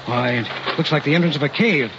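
An actor playing a determined, curious professor leading an expedition in an old-time radio drama, grave and earnest in delivery. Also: male voice, fairly high pitch, a little fast, background noise, natural voice. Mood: tense, intrigued. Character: determined, curious male scientist professor, middle-aged expedition leader. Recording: muffled vintage radio recording.

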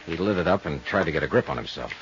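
Deep-voiced hard-boiled male private eye. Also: animated, fast, dull audio. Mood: wry, hard-boiled. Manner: clipped, terse, dry delivery. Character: wry, hard-boiled male insurance investigator. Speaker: detective narrator and lead character. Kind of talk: 1950s radio drama acting, first-person narration. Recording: vintage 1950s radio recording with music.

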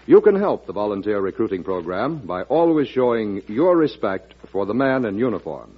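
Husky, emphatic vintage radio announcer man. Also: fairly low pitch, big pitch swings, normal speed. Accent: American. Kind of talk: 1940s scripted public service announcement. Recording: muffled vintage radio recording.

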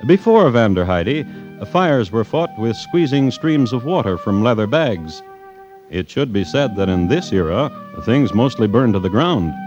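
A man narrating a scripted old-time radio drama as a storyteller, measured and wry. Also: medium pitch, big pitch swings, over music. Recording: muffled old radio recording.

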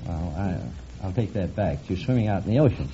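A man's voice: deep voice, expressive, quick pace, crowd noise. A middle-aged man from Canada giving plain, matter-of-fact answers as a contestant on an old radio quiz show. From Vancouver, Canada. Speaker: contestant, aquarium owner. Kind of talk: plain conversational interview answers. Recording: muffled, faintly noisy vintage radio broadcast.

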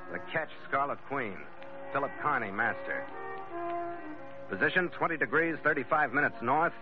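Muffled vintage radio sound with prominent music carries an actor playing a firm, seasoned ship's master. He tells his tale in clipped, measured phrases, like a log entry from a 1940s adventure serial. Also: medium pitch, close to an everyday voice. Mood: terse, formal. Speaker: lead actor and narrator.

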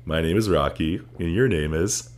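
A man co-hosting a casual podcast in an unusually deep voice, laughing often and swearing casually. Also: normal speed. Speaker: podcast co-host.

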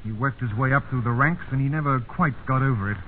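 An actor playing a commanding, self-assured army officer in a radio drama, with a clipped manner. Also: male voice, mid-range voice, fast, natural voice. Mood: smug. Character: smug, commanding Oxford-educated British army officer. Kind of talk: 1950s radio acting. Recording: narrow, noisy 1950s radio broadcast.

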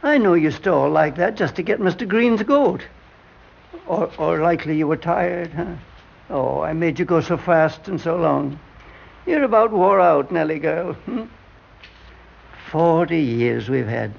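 An actor playing a frail, confused old elevator man, tender and halting, in an old-time radio drama. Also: fairly high pitch, lively intonation, vocal fry, full character voice. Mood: tender, wistful. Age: older. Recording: dull, hissy old radio recording.

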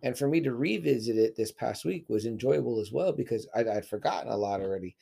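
Guy in his 40s, gravelly voice, rambly podcast chat with lots of likes and you knows. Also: medium pitch, expressive, fast talker, warm tone. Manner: rambling, heavy filler words, swears freely. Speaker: podcast co-host. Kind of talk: casual chat.

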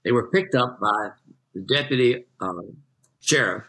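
An older American man from Texas telling detailed anecdotes as an interview guest, in a measured raconteur's manner. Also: mid-range voice, pushed and bright, low-quality recording. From Texas, United States. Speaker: interview guest, retired magazine journalist.

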